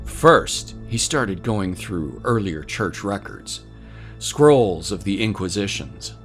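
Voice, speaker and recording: A man's voice: low-pitched, lively intonation, takes it slowly. A man narrating scripted dramatic fiction as a storyteller. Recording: music bed under the voice. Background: music.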